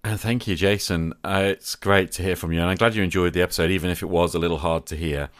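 Very deep-voiced man hosting a podcast. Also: steady tone, quick pace, a bit bright, dry recording, studio quality. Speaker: podcast host. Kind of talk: conversational podcast monologue.

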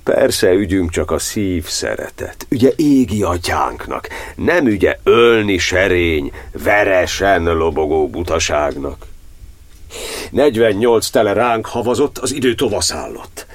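Deep-voiced, solemn persecuted cardinal. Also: male voice, big pitch swings. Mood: defiant. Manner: declamatory, elevated, measured verse delivery. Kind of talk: theatrical verse monodrama for radio.

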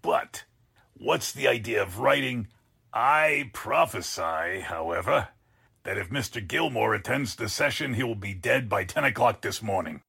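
An actor playing a sarcastic, blustering newspaper editor in a 1940s-style radio drama, with a husky voice. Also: male voice, fairly low pitch, expressive, measured pace, pushed and bright, heavily acted. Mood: exasperated, scolding. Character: exasperated, sarcastic male newspaper editor boss.

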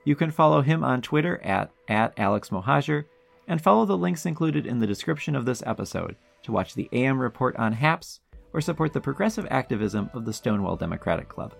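A man's voice: mid-range voice, very animated, brisk, gravelly, faint music behind. An American man hosting a podcast, talking in a conversational way. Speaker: podcast host. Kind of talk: conversational interviewing.

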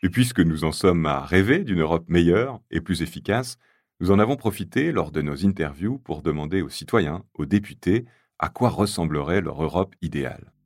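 Very deep-voiced male narrator. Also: expressive, moderate pace. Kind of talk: scripted documentary narration.

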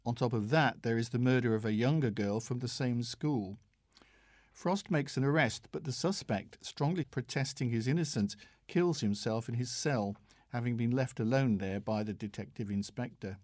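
A British man reading a scripted audiobook review in a measured, formal manner. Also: medium pitch, darkish tone, no room sound, studio quality. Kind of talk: scripted review read aloud.

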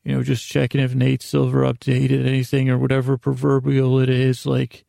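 A man with a heavily gravelly, dark and relaxed voice, recorded close and dry. He hosts a sleep podcast, wandering through halting, repetitive asides in a lulling bedtime ramble. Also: mid-range voice, dry recording. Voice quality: creaky, gravelly. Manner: halting, meandering, repeats and rephrases himself; self-deprecating, gently silly.

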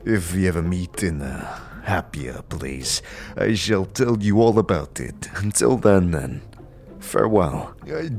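Guy doing a slow deep goat-man warrior, gruff and teasing. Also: animated, darkish tone, exaggerated voice. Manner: gruff, teasing, calls listener "Two Legs". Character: large goat-man male warrior, wary but friendly. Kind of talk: audio drama acting.

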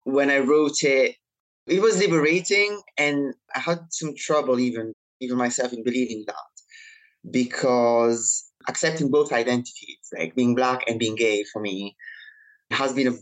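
A man's voice: mid-range voice, moderate pace, poor audio. Articulate Italian-born man, interview guest. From Italy. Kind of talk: interview conversation.

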